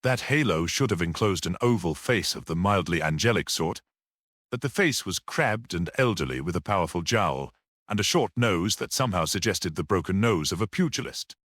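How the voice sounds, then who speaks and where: A man's voice: low voice, animated, moderate pace, a bit bright, no room sound, clean studio sound. A man reading a mystery story aloud as an audiobook narrator.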